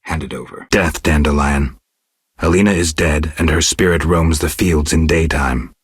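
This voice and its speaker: A world-weary male monster hunter from a fantasy video game. The voice is extremely deep and raspy, recorded dry and close. He speaks in terse, deadpan phrases, gruff and stoic throughout. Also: normal speed, dry recording, exaggerated voice. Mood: serious, businesslike. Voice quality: gravelly, husky.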